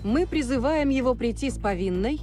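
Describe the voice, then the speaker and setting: Video game news bulletin: crisp, formal female reporter, slightly high voice over music. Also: expressive, a little fast. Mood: formal, grave.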